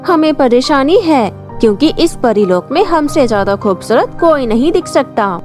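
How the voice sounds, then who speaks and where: A woman's voice: sits high, lively intonation, normal speed, a little mellow, faint music behind, pitched above the performer's own voice. A woman voicing an arrogant, mischievous young fairy in a storybook tale, with a haughty, taunting manner. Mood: haughty, threatening.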